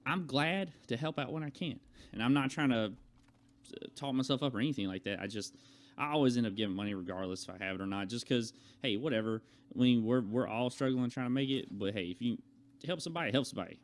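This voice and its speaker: A young adult man chatting casually to viewers as a vlogger, telling a rambling personal story in a conversational way. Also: medium pitch. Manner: conversational, acts out quoted remarks.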